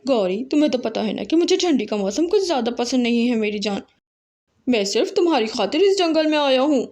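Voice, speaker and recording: A high-voiced bird husband from a cartoon fable, played by a woman. He grumbles and complains, though affectionately. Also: animated, a little fast, a little mellow, slight background noise, close to an everyday voice. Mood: grumbling. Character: complaining, affectionate male bird husband who hates cold.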